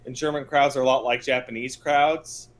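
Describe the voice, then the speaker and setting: A man's voice: medium pitch, fairly even intonation, normal speed. A man co-hosting a casual podcast, ranting with emphatic, hyperbolic exasperation. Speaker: podcast co-host.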